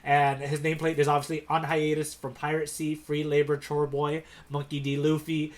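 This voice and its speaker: Guy on a comics podcast, voice a bit high, chatty and really into it. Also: monotone, normal speed. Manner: enthusiastic. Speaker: podcast co-host. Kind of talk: casual manga discussion chat.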